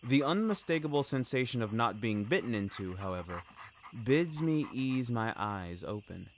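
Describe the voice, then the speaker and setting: A man's voice: medium pitch, lively intonation, a little hiss or hum. A man narrating scripted dramatic fiction in a wry, literary manner. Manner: wry, literary, deliberate phrasing. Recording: music bed behind narration.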